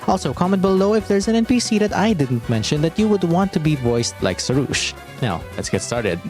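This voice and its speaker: A man presents scripted video game commentary. His voice sits slightly high and moves in an animated way, with faint music underneath.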